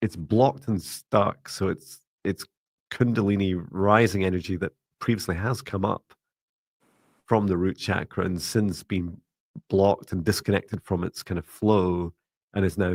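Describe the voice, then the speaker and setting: Deep-voiced man, calm steady tone. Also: gravelly, no echo. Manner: slow, meditative, rambling with fillers. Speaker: psychic mentor livestream host. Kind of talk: livestream psychic reading.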